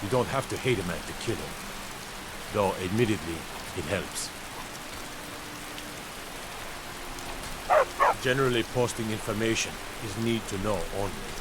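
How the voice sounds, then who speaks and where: Man as stern fantasy game officer, lower register, plain-spoken, under heavy hissy rain noise. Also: lively intonation, a little fast, natural voice. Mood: gruff, unrepentant. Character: grim, matter-of-fact male imperial army captain. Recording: loud hiss and rain noise.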